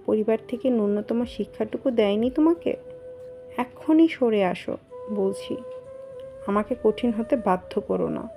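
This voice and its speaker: Woman playing a creaky-voiced, stern mother-in-law. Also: on the high side, very animated, unhurried, pauses often, mellow, soft background music. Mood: outraged. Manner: scolding, commanding. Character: stern, indignant middle-aged mother-in-law. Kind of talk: melodramatic audio story acting.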